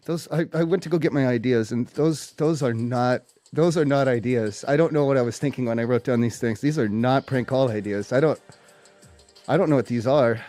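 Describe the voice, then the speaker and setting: A man hosting a live prank call show, chatting casually in a rambling, halting way and often repeating his phrases. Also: medium pitch, mellow, faint music behind.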